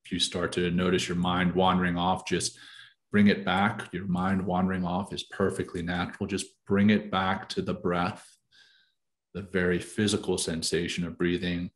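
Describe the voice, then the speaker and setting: A man leading a guided meditation, giving calm, soothing and deliberate instructions. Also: sits low.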